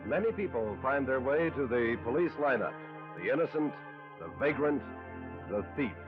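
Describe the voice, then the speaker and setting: A male network announcer of 1950s radio with a medium-pitched voice, reading promotional copy. Music plays clearly behind him, and the sound is dull and lo-fi. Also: animated, normal speed. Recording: dull lo-fi old radio broadcast.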